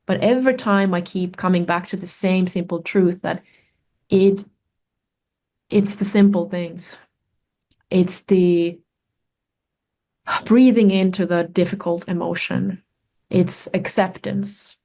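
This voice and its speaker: Low-voiced woman, thoughtful. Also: steady tone, gravelly, warm tone. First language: Finnish. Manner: thoughtful, searching for words with many pauses and fillers. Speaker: guest researcher and author. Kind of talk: reflective conversational storytelling.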